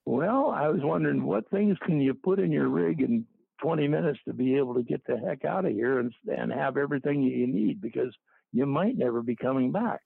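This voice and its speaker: An older man recalling his experiences in a casual, halting way, with a gravelly voice and many fillers. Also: medium pitch, lively intonation, no echo. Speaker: interviewee. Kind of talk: casual recollection. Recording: tinny phone-like line.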